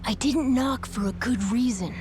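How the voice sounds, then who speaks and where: An actress playing an eager, protective youngster aboard a pirate ship in a video game, plain-spoken, over music. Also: female voice, a little high, moderate pace, a little hiss or hum, close to an everyday voice. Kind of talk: video game dialogue acting. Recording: loud music bed behind voice.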